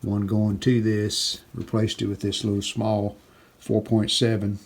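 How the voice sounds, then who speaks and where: A man's voice: fairly low pitch, completely flat delivery, unhurried. A man giving casual, unscripted hands-on repair commentary, thinking aloud in short phrases as he works. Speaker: hobbyist radio restorer presenting a repair video. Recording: clearly noisy home-video sound.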